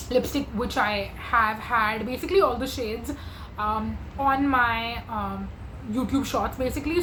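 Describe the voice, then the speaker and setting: A young woman talks through her makeup in an eager, rambling way, full of fillers. Her voice sits a little high and is going hoarse. The room echoes, with a faint hum behind her. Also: a bit bright. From India. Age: 20s. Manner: chatty and gushing, with many fillers. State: losing her voice. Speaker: beauty vlogger. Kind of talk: casual makeup chat to camera.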